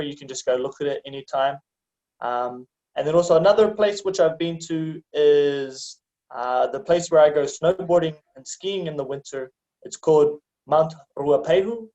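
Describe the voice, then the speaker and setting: A young New Zealand man talks casually as an interview guest, easygoing and chatty with frequent ums and light laughs. His voice sits a little high for a man. The recording sounds dull and lo-fi. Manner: relaxed, chatty, laughs easily, many ums. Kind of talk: casual conversation. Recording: dull lo-fi audio.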